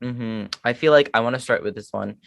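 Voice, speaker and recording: High, raspy-voiced man chatting casually. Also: fairly quick, dry recording, studio quality. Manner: easygoing, self-deprecating. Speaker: co-host. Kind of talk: casual fan chat.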